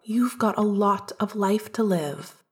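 A woman's voice: medium pitch, slow pace, natural voice. A woman reading an upbeat, chatty young man, a caring best friend with an eager, insistent manner.

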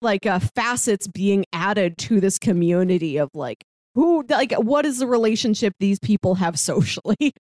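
A woman with a medium-pitched voice talks like a podcast co-host in casual discussion. She is animated and enthusiastic, and the recording is dry, with little room sound. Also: moderate pace, no echo.

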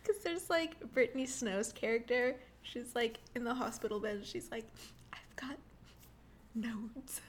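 Giggly young woman podcaster, higher voice. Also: unhurried, slight background noise. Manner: chatty, lots of "like" fillers, giggly. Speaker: podcast co-host. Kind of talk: casual chat.

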